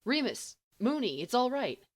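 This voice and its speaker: Brash, placating teenage boy, played by a woman narrator, emphatic and talkative, very lively intonation, audiobook. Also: a little high, moderate pace, slightly rough, pitched above the performer's own voice. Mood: anxious reassuring. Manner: emphatic, rambling. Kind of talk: acted audiobook dialogue.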